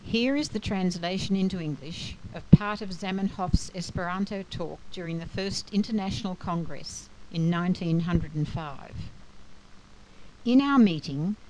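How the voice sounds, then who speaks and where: A woman giving a scripted educational talk in a measured, explanatory manner. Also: on the low side, darkish tone, slight background noise, low-quality recording. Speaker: lecturer.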